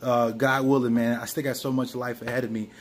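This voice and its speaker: A man's voice: mid-range voice, a little fast, slight background noise. A young man about thirty giving a casual motivational vlog from a small room at home, talking earnestly into a close camera and calling his viewers "man" a lot. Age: young adult. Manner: earnest, animated, lots of "man" and "you know what I'm saying". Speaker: vlogger. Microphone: camera close to face, webcam or phone.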